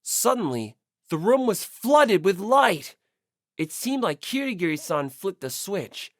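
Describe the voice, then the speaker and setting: A woman's voice: medium pitch, very animated, unhurried, dry recording, studio quality. A woman narrating a scripted first-person story expressively as an audiobook reader.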